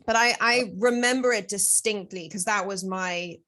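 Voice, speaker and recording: A woman in her twenties hosting a podcast, eager and excited, with a lot of filler words. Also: medium pitch, normal speed. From Wales. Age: 20s. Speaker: podcast host. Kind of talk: casual podcast chat.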